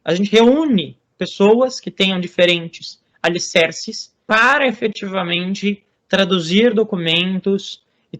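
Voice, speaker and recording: Brazilian man, high voice, hesitant measured guest explainer. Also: a bit bright. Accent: Brazilian Portuguese. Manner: measured, many hesitations and restarts. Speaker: author and guest on Norse religion. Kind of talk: explanatory interview talk.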